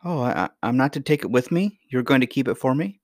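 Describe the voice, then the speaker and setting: An eager little princess from a children's storybook, acted by a male reader who lifts his voice. The delivery is raised in pitch, earnest and polite, full of curiosity and easily puzzled. The sound is dry. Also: expressive, fast, dry recording, lightly acted. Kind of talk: acted dialogue in a children's fairy tale reading.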